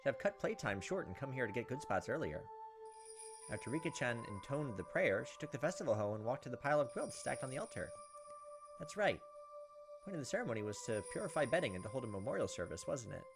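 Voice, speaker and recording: A man's voice: mid-range voice, big pitch swings, fast talker, creaky, music in the background. A man reading a visual novel aloud as a let's play host, with casual, crude joking asides.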